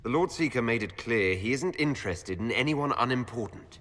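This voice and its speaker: A man's voice: medium pitch, lively intonation, a little fast, a bit bright, slight background noise, natural voice. An actor playing a level-headed, defiant young male elf hero, plain-spoken and firm, in a fantasy video game. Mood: questioning.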